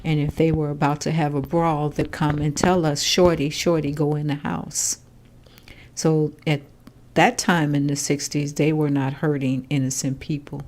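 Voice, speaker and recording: Low-voiced older woman recalling her childhood. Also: moderate pace. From Chicago, Illinois. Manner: calm, matter-of-fact storytelling. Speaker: interviewee, grandmother. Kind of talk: oral history interview.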